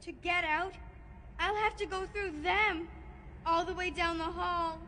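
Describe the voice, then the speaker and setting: Woman doing a scared little boy, really high n slow, halting, echoey hall, audio drama. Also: fairly even intonation, pushed and bright, slight background noise, exaggerated voice. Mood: frightened. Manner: fearful, halting. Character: timid, frightened small boy, trapped and helpless. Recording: echoing hall, music underneath.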